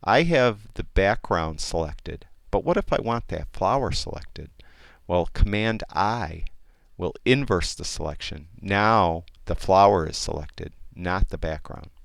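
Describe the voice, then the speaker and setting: A man explaining a photo editing program in a casual, rambling tutorial, with many fillers and restarts, and a voice that is getting hoarse. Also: low-pitched, animated, unhurried, no room sound. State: losing his voice. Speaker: software tutorial instructor. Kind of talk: casual screen-recorded tutorial.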